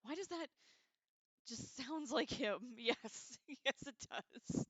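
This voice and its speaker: A woman hosting a casual livestream, chatting to viewers in an excitable, giggly way with loose, profane slang. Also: high-pitched, slow pace, breathy edge, dry recording. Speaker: livestream host. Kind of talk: casual chat to live viewers.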